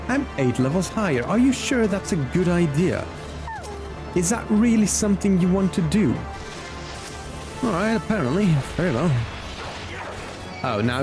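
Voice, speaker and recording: A man giving casual gameplay commentary and chatting to viewers as a livestreamer, with music behind him. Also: on the high side. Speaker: game livestreamer.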